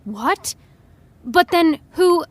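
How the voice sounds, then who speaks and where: Eager young fan girl, real high n swoopy voice, dry recording, audio drama. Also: lively intonation, very slow, no echo, full character voice. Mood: curious, rapt. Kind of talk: naturalistic audio drama dialogue.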